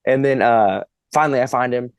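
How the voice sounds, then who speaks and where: A man's voice: mid-range voice, fairly quick, clear, resonant tone, dry recording. A man in his twenties, a podcast guest, telling a long funny story in casual chat, laughing and swearing as he goes.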